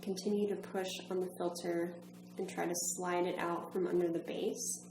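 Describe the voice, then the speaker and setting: A woman's voice: fairly low pitch, little pitch movement, normal speed. A woman narrating an instructional demonstration in a methodical, step-by-step manner. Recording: roomy echo, faint background hum.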